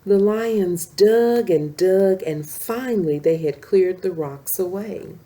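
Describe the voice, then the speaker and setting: A woman reading a children's story aloud in an expressive storytelling style, explaining as she goes. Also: mid-range voice, slight background noise. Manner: pauses to explain pictures to listeners.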